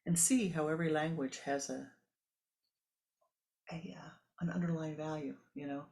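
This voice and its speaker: Musing spiritual teacher repeating for emphasis. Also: male voice, a little high, steady tone, normal speed, darkish tone. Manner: emphatic repetition, musing asides. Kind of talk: sermon.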